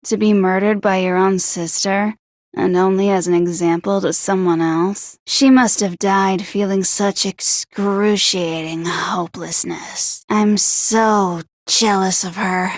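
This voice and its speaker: A woman's voice: medium pitch, steady tone, takes it slowly, no echo, clean studio sound, lightly acted. A woman playing a morbid, despair-loving teenage girl villain in an anime game, melodramatic and drawn out. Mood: morbid gloom. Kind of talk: anime video game voice acting.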